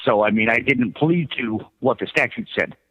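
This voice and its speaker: A middle-aged man telling his own story as a radio interview guest, in a halting, self-correcting way. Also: mid-range voice, sharp tone, breathy edge. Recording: thin phone-like line.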